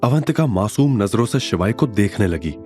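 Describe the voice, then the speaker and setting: A man's voice: fairly low pitch, lively intonation, moderate pace, mellow. A man narrating a scripted audio story in a dramatic style. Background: background music.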